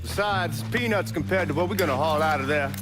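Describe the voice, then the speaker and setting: A man's voice: on the high side, brisk, lightly acted. A man playing a brash, loose-talking heist crook with an American accent in a crime game. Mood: casual. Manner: loose, casual, slangy. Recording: loud music and vehicle noise behind.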